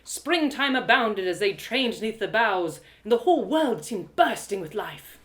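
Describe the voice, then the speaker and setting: A woman narrating a scripted fantasy tale in the first person, with expressive, dramatic storytelling. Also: medium pitch, a little slow, very noisy.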